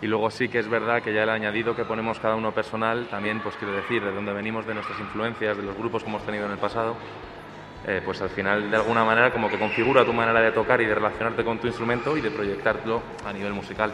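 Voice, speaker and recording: Flat-voiced male musician, echoey room. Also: lowish voice, fast, background noise. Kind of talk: casual interview.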